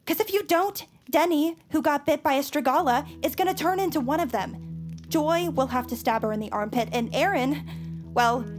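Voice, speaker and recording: A woman playing a nerdy, earnest, excitable young superfan in an audio drama, rambling through her explanations. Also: sits high, normal speed, natural voice. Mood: urgent, pleading. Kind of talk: scripted audio drama acting.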